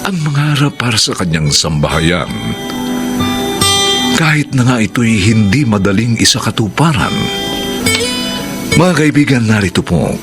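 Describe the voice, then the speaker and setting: Slow, declamatory male radio announcer. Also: lowish voice, sing-song. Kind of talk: formal poetic introduction. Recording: radio broadcast over loud guitar music.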